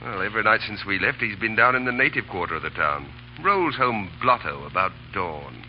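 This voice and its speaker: An actor playing a breezy, teasing army officer with an amused, mocking drawl in a 1930s radio drama. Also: male voice, on the low side, lively intonation, a little fast, soft background music, close to an everyday voice. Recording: dull 1930s radio recording.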